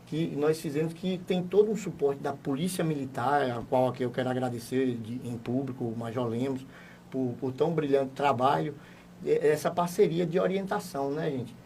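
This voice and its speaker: A man's voice: mid-range voice, steady tone, normal speed, darkish tone. A man in a radio studio interview explains his points in a formal way and presses them with growing emphasis. He sits back a bit from the microphone, so the voice comes across slightly distant. There is a faint hum of room ambience behind him. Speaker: municipal education secretary.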